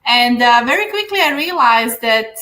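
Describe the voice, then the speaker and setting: Woman, Serbian accent, business coach, high voice, bright tone, earnest, filler-heavy. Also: expressive, moderate pace. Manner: earnest, advice-giving, frequent fillers. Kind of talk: interview answers. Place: Dubai.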